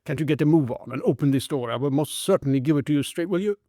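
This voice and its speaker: A man voicing a stern, gruff male police constable who gives commands. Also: fairly high pitch, fast, dark tone, dry recording, studio quality, natural voice. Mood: commanding.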